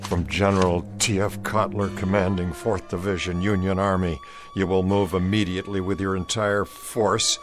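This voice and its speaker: An actor playing a skeptical, uneasy Confederate soldier in a radio play, speaking in a stern, earnest manner. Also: male voice, deep voice, level tone, moderate pace, music in the background, natural voice. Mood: resolute. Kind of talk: dramatic radio play acting.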